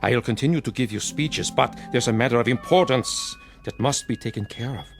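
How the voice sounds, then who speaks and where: An actor playing a sinister, courtly mentor in a radio drama, suave, deliberate and faintly condescending. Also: male voice, mid-range voice, faint music behind, close to an everyday voice. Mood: sly, insinuating.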